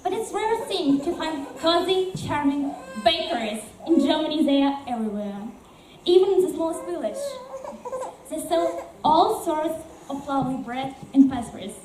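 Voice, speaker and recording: A woman's voice: sits high, very animated, normal speed. A woman playing a newcomer from Russia who talks eagerly about her new home in an amateur stage performance. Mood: enthusiastic. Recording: echoey room from far off, faint noise.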